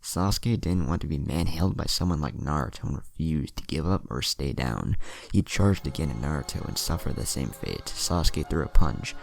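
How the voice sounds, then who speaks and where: Very deep, gravelly male storyteller. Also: lively intonation, normal speed. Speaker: narrator. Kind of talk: scripted fan fiction narration with acted dialogue. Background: music.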